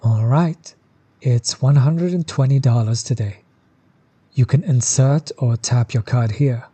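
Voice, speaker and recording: Man playing a mellow, laid-back tattoo artist. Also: medium pitch, a little slow, husky. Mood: friendly, polite. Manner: relaxed, supportive, explains gently. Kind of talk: audio roleplay, talking to the listener.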